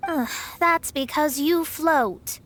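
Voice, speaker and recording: A woman's voice: high voice, slow pace, a bit bright, a little hiss or hum. A woman voicing a proud, haughty young female astrologer in a video game, speaking in a pompous, formal, theatrical way. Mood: weary, irritated. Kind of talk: video game character voice acting.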